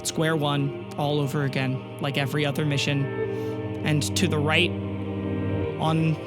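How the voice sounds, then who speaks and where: A man with a somewhat high voice sets each scene as game master, talking very slowly and leaving long pauses. Clear music plays behind him the whole time. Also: little pitch movement. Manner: slow, measured, full of pauses. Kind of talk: slow descriptive scene narration. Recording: loud music bed.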